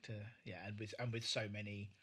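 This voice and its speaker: A man co-hosting a podcast, chatting casually and retelling scenes with animated asides. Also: on the low side, normal speed, husky. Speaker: podcast co-host. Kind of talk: casual chat.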